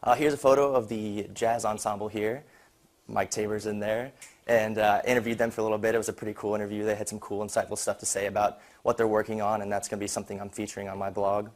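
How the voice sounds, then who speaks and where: A man's voice: fairly low pitch, flat delivery, quick pace. A young man giving an informal student slide presentation in a casual, conversational way. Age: young adult.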